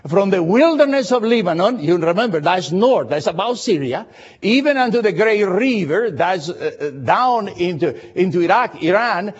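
Older male pastor, foreign accent, husky and highly expressive, preaching with emphatic repetition; slightly dull, lo-fi recording. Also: normal speed. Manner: emphatic repetition of short phrases. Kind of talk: expository sermon with personal anecdotes.

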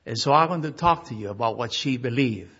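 Pastor from Argentina, middle-aged man, husky voice, halting delivery, muffled lo-fi recording. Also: mid-range voice, expressive, a bit bright. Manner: halting, with frequent uh fillers. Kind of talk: memorial service address.

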